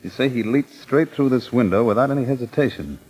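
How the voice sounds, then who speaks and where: A man playing a brisk, matter-of-fact investigator who questions people in an old-time radio crime drama. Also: mid-range voice, a little mellow, husky, natural voice, rough recording. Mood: businesslike. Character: brisk, businesslike male investigator.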